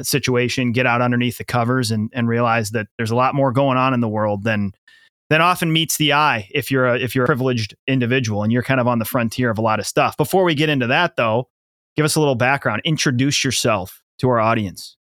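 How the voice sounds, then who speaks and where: A brash American podcast co-host, mid-pitched and swearing freely. His voice comes through a close, dry mic. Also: fairly bright tone, dry recording. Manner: brash, profane, fast ad-read delivery. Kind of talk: casual podcast chat with ad reads.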